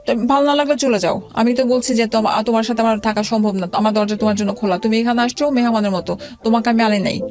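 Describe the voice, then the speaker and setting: Bangladeshi female guest, middle-aged, personal storytelling at a quick clip, with broken-off words and restarts. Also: a little high, steady tone, a little mellow. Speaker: interview guest telling own life story. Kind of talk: personal storytelling in a radio interview.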